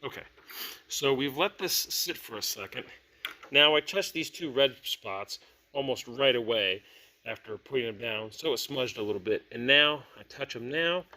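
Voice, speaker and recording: Man, medium pitch, casual hands-on reviewer, chatty, thinking out loud, hobby gaming host. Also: lively intonation, normal speed. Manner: conversational, thinking aloud. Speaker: host of a tabletop gaming product review channel.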